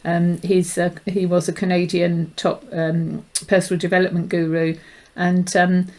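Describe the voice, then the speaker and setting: Middle-aged woman, flat intonation. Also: fairly low pitch, measured pace. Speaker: interview host, behaviour profiling specialist. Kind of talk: conversational interview.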